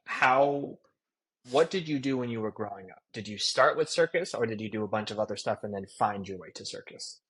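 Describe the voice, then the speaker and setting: A man hosting an interview podcast, asking questions and reacting with quick enthusiasm. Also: lowish voice, expressive, fairly bright tone, slightly rough. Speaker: podcast host.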